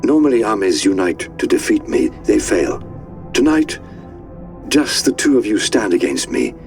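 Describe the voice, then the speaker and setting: An actor playing a boastful, menacing alien conqueror in an audio drama. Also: male voice, fairly low pitch, sing-song, normal speed. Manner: grandiose, menacing. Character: boastful male alien conqueror threatening his foes. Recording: loud music under the voice.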